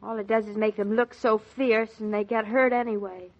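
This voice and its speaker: A woman's voice: medium pitch, level tone, moderate pace, close to an everyday voice. An actress playing a girlish, playful young newlywed wife in a 1940s American radio drama, chattering naively and animatedly. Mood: complaining. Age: young adult. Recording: muffled 1940s radio recording.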